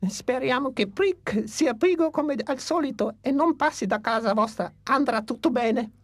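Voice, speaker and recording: A woman's voice: high voice, unhurried, no echo. A woman voicing a young, cautious and fretful flying dinosaur in a dubbed cartoon. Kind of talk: Italian cartoon dub.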